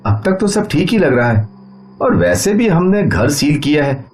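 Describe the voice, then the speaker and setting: A man's voice: on the high side, big pitch swings, moderate pace, a little mellow, faint music behind. A man voicing an easygoing, deferential police constable in a cartoon horror story. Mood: eager, deferential.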